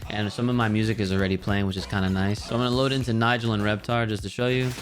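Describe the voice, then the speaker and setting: A man giving a casual software tutorial, explaining things conversationally and speaking directly to the viewer. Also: lowish voice, fairly even intonation, quick pace, music in the background. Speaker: tutorial host. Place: voice-over on a screen recording of a game.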